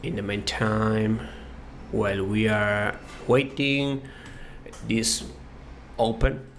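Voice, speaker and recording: Slow, gravelly Argentine-accented man. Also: fairly low pitch, flat delivery, a little hiss or hum. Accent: Argentine Spanish. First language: Spanish. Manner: halting, with frequent fillers and restarts. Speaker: web design course creator. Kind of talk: casual screen-recorded software walkthrough.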